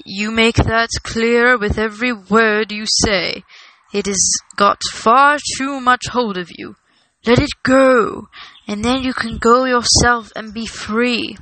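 A woman voicing a grave, stern old male wizard in a storybook, firm, patient and deliberate. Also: fairly high pitch, fairly even intonation, sharp tone, rough recording. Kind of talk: storybook character reading.

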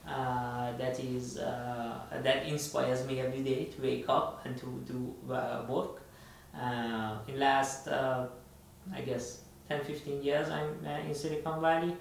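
A man's voice: medium pitch, level tone, a little slow, creaky, slight background noise. A man from Iran, co-hosting a casual podcast chat and talking hesitantly with many fillers and restarts. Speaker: podcast co-host. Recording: very echoey room, distant mic.

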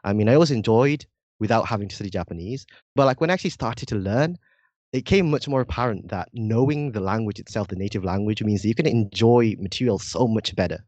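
Young Indonesian male student, slight British lilt, low-ish voice, earnest explainer, dry close mic. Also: lively intonation, fast talker, darkish tone, dry recording, lo-fi sound. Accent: slightly British-sounding English. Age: young adult. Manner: earnest, explanatory, frequent "like" and "right?". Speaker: podcast guest, university student. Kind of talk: casual interview chat.

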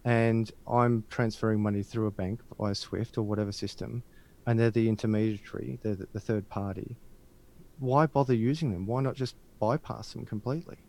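A man's voice: lowish voice, fairly even intonation, moderate pace, gravelly, a little mellow. A man talking casually as a channel host, making points and telling anecdotes in a conversational style.

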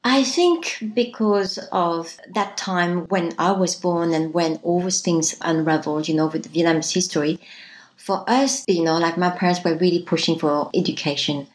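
Low-voiced woman in her fifties. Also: normal speed, poor audio. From France. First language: French. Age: 50s. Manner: starts many sentences with "so", earnest and reflective. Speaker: guest. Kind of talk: reflective personal storytelling.

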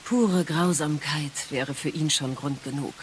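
A woman playing a wise, sorrowful exiled goddess and mother in an audio drama, speaking in a measured, solemn way. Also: low voice, a little hiss or hum. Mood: bitter, solemn. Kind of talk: dramatic audio play acting.

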